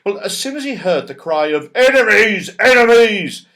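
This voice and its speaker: A man's voice: on the high side, very animated, fairly quick. A man narrating a children's story as an audiobook, expressively, with dramatic emphasis and storyteller's phrasing.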